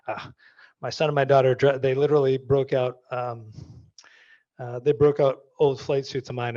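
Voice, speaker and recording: A man's voice: medium pitch, a little mellow, dry recording, dull audio. A man, answering audience questions in a conversational way full of restarts. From Maine, US. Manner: conversational, many restarts and fillers. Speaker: guest speaker.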